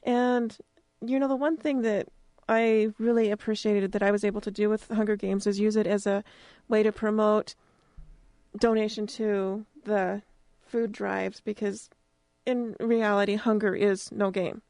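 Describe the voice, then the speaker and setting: A woman's voice: medium pitch, level tone, measured pace, mellow, no echo. An American woman hosting an informal radio interview, speaking in a plain, conversational way. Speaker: campus radio host, interviewer. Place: radio studio.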